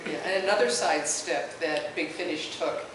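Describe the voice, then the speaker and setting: A woman's voice: deep voice, normal speed. A woman speaking informally as a panelist in a discussion at a convention. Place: indoor convention panel room. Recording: very echoey, noisy room, far from mic.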